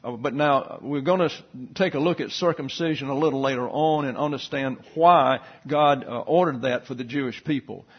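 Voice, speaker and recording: A man teaching a Bible study lecture, reading passages and footnotes aloud with asides and slowly spelling out Greek words. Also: mid-range voice, slightly rough, highs cut off.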